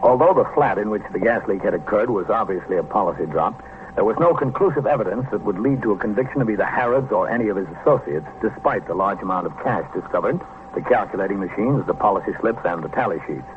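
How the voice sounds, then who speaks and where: An American man playing a stern police captain in a vintage radio crime drama, dry, measured and authoritative. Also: on the low side, animated, lightly acted. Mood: measured narration. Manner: dry, authoritative, matter-of-fact. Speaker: police captain narrating his case. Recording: noisy, narrow-band old radio recording.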